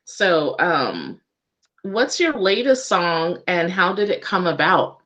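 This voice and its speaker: A woman with a slightly low voice hosts a relaxed-format interview. She talks informally, like an everyday conversation, and responds readily to her guest. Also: takes it slowly, dull audio. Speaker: radio show interviewer.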